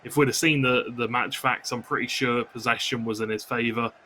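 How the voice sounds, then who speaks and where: A man's voice: medium pitch, steady tone, a little fast, pushed and bright, slight background noise. A man working as an esports co-commentator, analysing football video game matches during live commentary.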